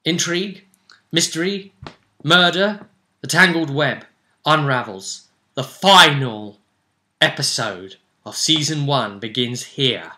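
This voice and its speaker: Bright-voiced man recapping a tabletop game. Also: on the high side, lively intonation, takes it slowly. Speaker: host and game master. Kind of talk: casual recap of a tabletop role-play session.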